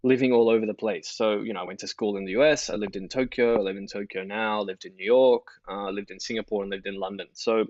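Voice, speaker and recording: A man's voice: lowish voice, steady tone, quick pace, hardly any pauses, a little mellow, no echo. A man in his thirties with an Australian accent, a podcast guest talking candidly and self-deprecatingly, laughing easily. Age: 30s. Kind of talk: casual conversational interview. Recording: dull lo-fi, dry sound.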